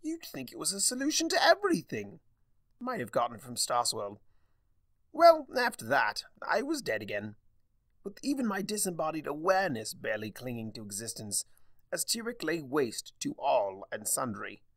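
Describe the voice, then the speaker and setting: Man voicing a mischievous chaos spirit, theatrical, wry, swooping pitch, self-indulgent, cartoon fan story. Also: wildly swooping pitch, a bit bright, no room sound, heavily acted. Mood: aggrieved, theatrical. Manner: theatrical, wry, drawn-out delivery.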